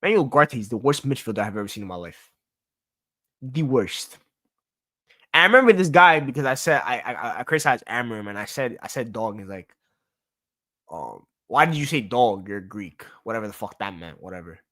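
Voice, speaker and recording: A man's voice: lowish voice, very animated, fairly quick, pushed and bright. Young man, solo sports talk host, ranting, exasperated, stammering repeats, casual swearing. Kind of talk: casual venting monologue.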